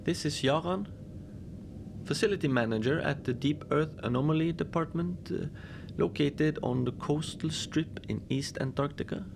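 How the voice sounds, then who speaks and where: A man playing a chatty, wry manager of an Antarctic research facility in an audio drama, talking in a rambling, deadpan way with hesitant asides. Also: fairly high pitch, normal speed, creaky. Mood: wry, weary. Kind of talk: conversational monologue, log-style audio drama. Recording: noisy background ambience.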